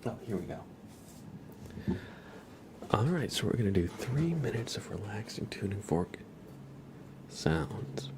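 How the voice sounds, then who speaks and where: A man hosting an ASMR livestream, chatting casually in a hushed voice that often slips into whispers. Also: on the low side, very animated, a little slow, long pauses, background noise. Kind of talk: casual soft-spoken ASMR stream chat.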